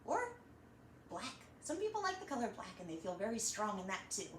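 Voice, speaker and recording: A woman hosting a children's storytime, reading and chatting in an animated, encouraging way straight to young listeners. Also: medium pitch, a little fast. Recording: roomy echo, slight background noise.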